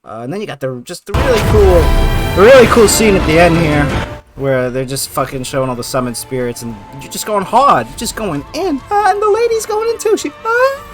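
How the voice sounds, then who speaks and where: An excitable man hosting a casual gaming video. His voice sits a little high and swings widely up and down as he talks in hyped, sweary slang, with music clearly playing behind him. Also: brisk. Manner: excitable, hyped, punctuating talk with exclamations. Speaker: video host reacting to favorite game intros. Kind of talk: casual, slangy, profane commentary.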